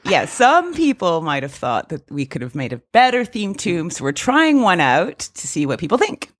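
A woman co-hosts a casual podcast chat, teasing her co-hosts and laughing often. Her voice rises and falls in lively swings. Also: fairly low pitch, normal speed. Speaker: podcast co-host.

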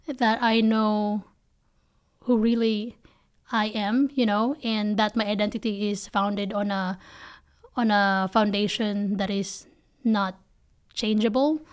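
A woman's voice: medium pitch, fairly even intonation, slow, pauses often, smooth. A woman from the Philippines with a Filipino accent, speaking candidly and emphatically in conversational interview answers as a company founder.

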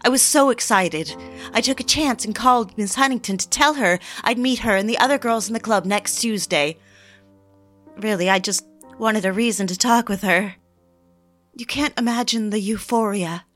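An actress playing a fragile, eager young mid-century housewife in an audio drama, confiding in a theatrical, genteel way. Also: female voice, medium pitch, normal speed, a bit bright, faint music behind, lightly acted. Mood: excited. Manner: theatrical, confiding, genteel, old-fashioned phrasing. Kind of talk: dramatic scripted audio drama narration and dialogue.

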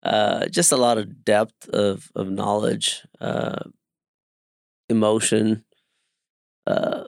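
A man co-hosting a casual podcast, soft-spoken and trailing off. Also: lowish voice, flat delivery, slow, creaky, long pauses, no echo, clean studio sound. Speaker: podcast co-host.

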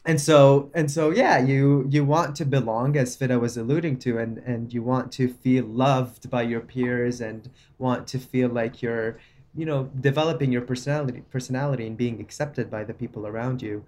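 A man's voice: medium pitch, fairly even intonation, normal speed. A man from Lebanon, a guest founder of a nonprofit, speaking thoughtfully in a casual podcast conversation. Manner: thoughtful, with frequent restarts and soft laughs.

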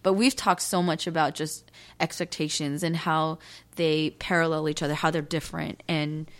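A young adult woman talking animatedly and emphatically in a casual conversation as a podcast co-host. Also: low-pitched. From United States. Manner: animated, emphatic, quick bursts of speech.

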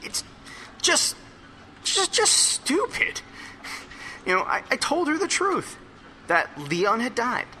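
A man playing an excitable, hungover rambler in an audio drama monologue, stammering, repeating and correcting himself as he confides. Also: very high-pitched, slight background noise, full character voice. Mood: earnest, agitated. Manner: halting, repetitive, self-correcting rambling.

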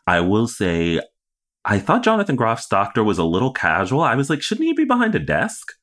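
Male recap podcaster: low register, conversational, emphatic, thinking through his opinions aloud. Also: huge pitch swings, a little fast, a bit bright. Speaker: podcast co-host. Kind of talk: casual TV recap chat.

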